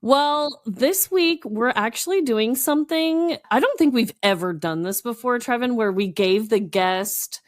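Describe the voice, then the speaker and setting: Exuberant woman with very lively pitch. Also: a little slow, few pauses. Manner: exuberant, emphatic. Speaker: podcast co-host. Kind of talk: casual chat.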